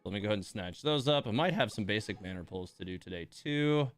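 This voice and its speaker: A man's voice: fairly low pitch, expressive, fast talker, soft background music. A man hosting a game livestream, chatting casually with wry, mildly grumbling informality. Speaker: game streamer, host. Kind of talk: casual livestream commentary while playing.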